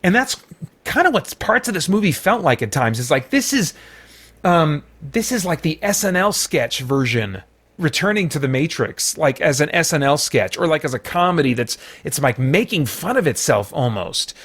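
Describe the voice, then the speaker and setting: An American man giving a casual, unscripted review as a show host, halting and rambling as he thinks aloud. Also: fairly high pitch, very animated, fairly bright tone, husky.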